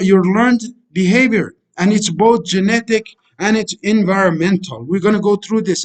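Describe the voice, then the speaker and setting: A middle-aged man whose native language is Kurdish, giving a motivational voice-over lecture over slides. His voice sits noticeably high for a man. He preaches in a repetitive way and keeps calling the listeners friends. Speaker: life coach.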